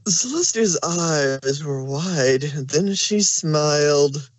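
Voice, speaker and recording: Male storybook narrator, slightly higher voice, unhurried scripted delivery. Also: lively intonation, no echo.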